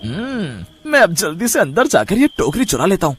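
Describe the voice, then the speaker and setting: Man voicing a high-pitched, sly greedy thief. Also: huge pitch swings, moderate pace, music in the background. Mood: scheming. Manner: scheming, muttering to himself with "hmm". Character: sly, greedy male thief scheming to get rich. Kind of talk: cartoon story dialogue.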